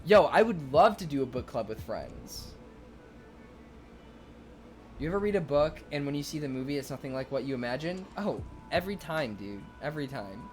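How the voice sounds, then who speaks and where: A young man streaming, chatting casually and excitably with viewers, rambling with lots of fillers. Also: mid-range voice, brisk, hardly any pauses, faint music behind. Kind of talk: casual chat reading viewer comments.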